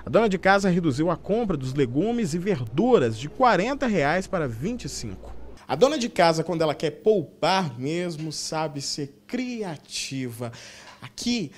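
Brazilian male reporter, animated and high-pitched. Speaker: TV news reporter. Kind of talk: scripted news report narration.

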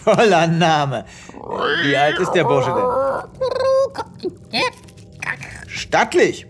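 An actor playing a self-important space veterinarian with a pompous manner in a comedic audio drama. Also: male voice, fairly high pitch, expressive, very slow. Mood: brisk, kindly. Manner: pompous, reassuring bedside chatter.

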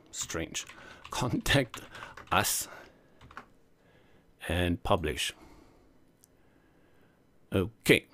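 A man with a South African accent, whose first language is Afrikaans, narrates a screen recording step by step. He sits at his computer mic and keeps up a chatty running commentary, often catching and correcting himself. His voice sits at an ordinary middle pitch for a man. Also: clear, resonant tone. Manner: chatty, self-correcting, talks through each click. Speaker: web design tutor. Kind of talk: screen-recorded software tutorial.

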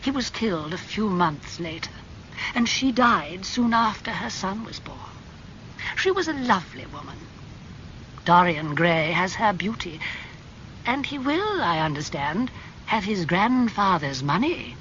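A gossipy, genteel old aunt, put on by an audiobook reader, lifting high and drawing her words out with lively swoops. The recording is a little dull, with a faint rumble underneath. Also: a little slow. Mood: chatty. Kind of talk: audiobook character reading.

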